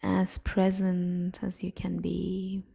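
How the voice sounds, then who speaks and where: A woman leading a guided sleep meditation in a hushed, soothing, softly breathy way, leaving long pauses. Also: on the low side, completely flat delivery.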